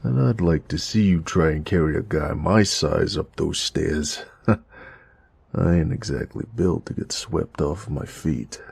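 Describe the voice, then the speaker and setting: Man acting as big noir gangster, very slow drawl, gravelly, affectionate, wisecracking, weary, intimate audio drama. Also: darkish tone, no echo, full character voice. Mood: weary, teasing. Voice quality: deep, gravelly, breathy. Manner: slangy, drawling, sighing, teasing.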